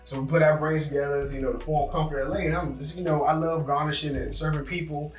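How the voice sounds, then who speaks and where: A man's voice: fairly high pitch, fairly even intonation, fast talker, reverberant, recorded from a distance, faint music behind. A young man, a guest chef, chatting casually and telling his story in an easygoing way in a home kitchen. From Virginia, US. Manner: laid-back, easygoing storyteller. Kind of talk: casual chat.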